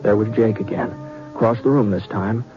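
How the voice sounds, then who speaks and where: An actor playing a folksy western yarn-spinner, narrating with dramatic pauses in old-time radio style. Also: male voice, fairly low pitch, over music, close to an everyday voice. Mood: hushed wonder. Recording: dull vintage radio recording.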